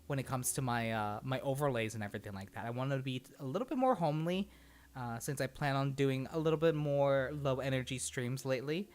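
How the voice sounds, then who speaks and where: A livestream host chatting casually and rambling from thought to thought, with an easy habit of laughing and swearing. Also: medium pitch.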